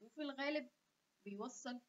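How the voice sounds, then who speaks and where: A woman's voice: high voice, brisk. A young woman with an Egyptian Arabic accent hosting a casual health vlog, talking animatedly and chatty with asides, recorded on a webcam in a small room. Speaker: health channel host. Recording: dull lo-fi webcam audio.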